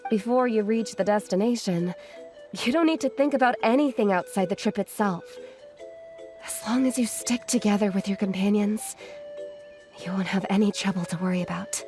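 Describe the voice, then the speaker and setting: A woman plays a gentle young woman in a video game. She speaks at a medium pitch in a modest, thoughtful way, with soft music behind her. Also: normal speed, natural voice.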